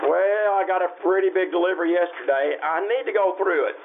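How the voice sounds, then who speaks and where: A man acting an excitable small-town 1950s shopkeeper in an amateur old-time radio play, emphatic and theatrical. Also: sits high, fairly quick. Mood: jovial, fussy. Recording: noisy, tinny phone-like stage recording.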